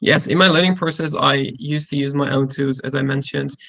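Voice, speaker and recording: A man with a Turkish accent hosting a casual technical interview, speaking haltingly and often repeating and rephrasing his words. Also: mid-range voice, fairly even intonation, creaky, fairly bright tone. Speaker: interviewer and cybersecurity content creator.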